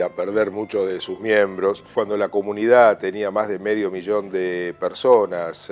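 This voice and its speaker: A man's voice: deep voice, darkish tone. A man speaking earnestly in an interview, stressing his points.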